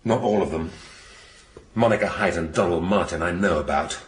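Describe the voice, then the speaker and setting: An actor playing a brusque, irascible police chief inspector in a radio drama, blunt and probing. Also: male voice, sits low, moderate pace, husky. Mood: sardonic.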